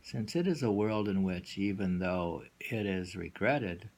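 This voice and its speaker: A man reading poetry aloud and discussing it informally, in a musing, halting way that lingers and repeats lines. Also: sits low, animated, a little mellow. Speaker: poetry reader and host.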